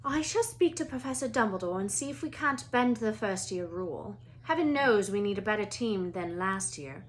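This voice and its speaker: A woman reading a storybook, voicing a stern older female schoolteacher in a crisp, commanding manner. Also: medium pitch, animated, normal speed, slight background noise, lightly acted.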